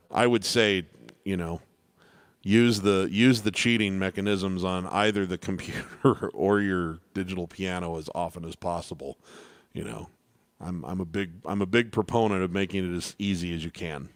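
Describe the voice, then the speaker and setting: Baritone man, piano teacher, loose rambling delivery with restarts, very low and smooth, close dry sound. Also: no room sound. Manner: relaxed, rambling with restarts and fillers. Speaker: piano teacher hosting live Q&A. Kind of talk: casual live-stream teaching.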